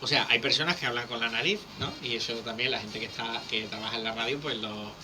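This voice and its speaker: A man, a public speaking coach explaining things in a lively, teacherly way as a guest on a radio interview. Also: medium pitch, fast, over music.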